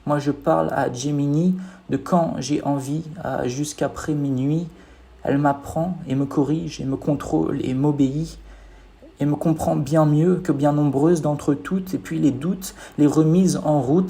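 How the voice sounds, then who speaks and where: A man's voice: a little high, fairly even intonation, unhurried, gravelly, mellow. A man reciting his own poem as spoken word, in a rhythmic, declamatory manner.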